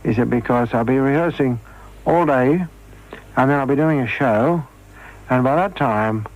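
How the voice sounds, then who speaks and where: A man reminiscing in an interview, telling anecdotes in a measured, halting way. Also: medium pitch, highs cut off.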